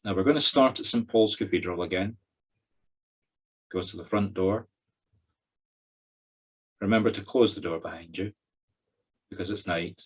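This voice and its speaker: A Scottish man leading a guided meditation as a spiritual medium, giving instructions in short phrases with pauses between them. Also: low-pitched, husky. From Scotland.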